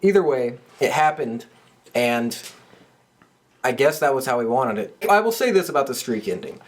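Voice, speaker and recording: A man's voice: mid-range voice, expressive, moderate pace. A man co-hosting a podcast, speaking in a casual conversational style. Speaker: podcast co-host.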